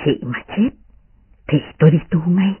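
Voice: medium pitch, animated, normal speed, slightly rough, narrow, tinny audio. A performer voicing an impulsive young master of the household, petulant and insistent, in a storybook reading. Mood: exasperated.

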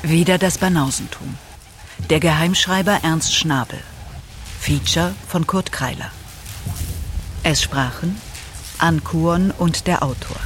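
A man's voice: on the high side, slow, long pauses, fairly bright tone, a little hiss or hum. A man announcing the closing credits of a radio feature from a script.

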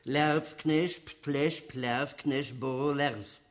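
A man's voice: medium pitch, fairly even intonation, drawn-out words. An actor playing an insistent man who recites clipped, isolated words in an absurdist radio play. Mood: deadpan, clipped. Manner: staccato, isolated one-word utterances.

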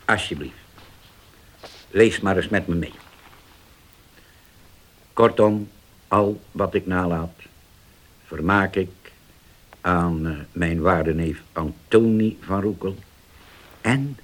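An actor playing a grave older uncle in a radio drama, deliberate and halting, once vengeful and now forgiving. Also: male voice, low-pitched, exaggerated voice. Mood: solemn, deliberate.

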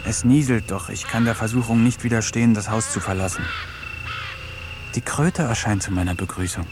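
A man's voice: fairly low pitch, moderate pace, over music, close to an everyday voice. A man playing a lonely, sardonic village eccentric who reads his diary in a dry radio-play narration with mocking asides. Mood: brooding. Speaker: narrator and lead.

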